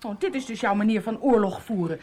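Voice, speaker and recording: A woman's voice: mid-range voice, quick pace, natural voice. A woman acting an anxious, suspicious, quarrelsome wife in a Dutch radio play, dramatic and nervous. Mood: accusing. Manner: sharp retorts, nervous, dramatic.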